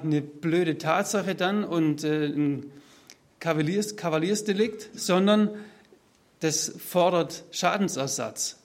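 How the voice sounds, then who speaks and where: A man preaching a sermon, explaining step by step and speaking freely to his listeners. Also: fairly high pitch. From Swabia, southern Germany. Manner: explanatory, structured, addresses listeners directly. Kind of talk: sermon, spoken freely from notes to a congregation.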